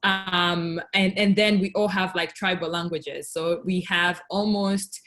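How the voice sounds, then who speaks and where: A woman's voice: on the low side, steady tone, unhurried, a bit bright. A young woman from Tanzania hosting a casual video call chat, talking with lots of fillers and "like". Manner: talks with many fillers and "like". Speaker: host of the virtual chat.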